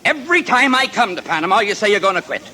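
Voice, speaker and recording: A man's voice: high-pitched, sing-song, fast talker, sharp tone, heavily acted. An actor playing a blunt company boss who lectures and needles his employee. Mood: lecturing. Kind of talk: 1940s radio acting.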